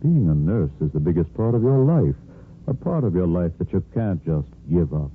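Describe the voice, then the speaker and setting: An actor playing a kindly older hospital chief of staff in a 1950s radio soap, reassuring and paternal. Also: male voice, deep voice, sing-song, normal speed. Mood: fatherly, gentle. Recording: muffled, noisy 1950s radio recording.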